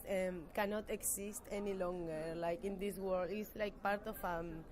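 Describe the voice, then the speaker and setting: A woman's voice: medium pitch, fairly even intonation, normal speed, darkish tone. A young adult woman with an Argentine accent, giving hesitant, thoughtful answers in an informal interview. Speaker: master's student interviewee.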